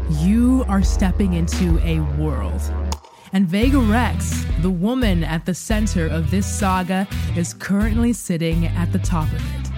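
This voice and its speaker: A woman narrates a scripted podcast promo as a confiding, theatrical storyteller. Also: on the low side. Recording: clear music bed underneath. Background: guitar music.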